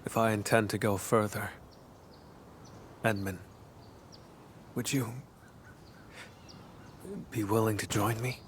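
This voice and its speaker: An actor playing a brooding, solemn male warrior in a video game, earnest and devoted, speaking in measured, formal, hushed and intimate phrases. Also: fairly low pitch, steady tone, a little hiss or hum. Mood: resolute. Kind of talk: video game story dialogue acting.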